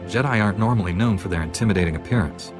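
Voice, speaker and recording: A man plays a steadfast young Jedi hero in a video game. His voice is deep and quick, composed and formal, with faint music behind. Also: natural voice. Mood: dry composure.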